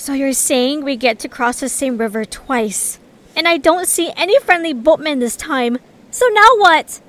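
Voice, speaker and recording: A woman's voice: high voice, lively intonation, a little slow, a bit bright. A woman playing an abrasive, sarcastic female adventurer in an audio drama, with a biting manner. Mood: sarcastic, scornful.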